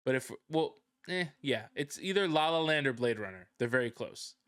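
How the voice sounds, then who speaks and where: A man's voice: mid-range voice, animated, normal speed, fairly bright tone, clean tone, dry recording, studio quality. Playful bilingual young man co-hosting. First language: Spanish. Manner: playful, boastful banter. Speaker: podcast co-host. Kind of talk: casual chat.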